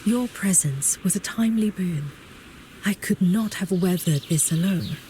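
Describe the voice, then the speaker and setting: A mellow, lowish woman-acted game proprietress, poised and gracious. She speaks formally and keeps herself guarded, with faint noise behind her. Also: close to an everyday voice. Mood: gracious, weary. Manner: formal, measured, reserved. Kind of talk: video game character voice acting.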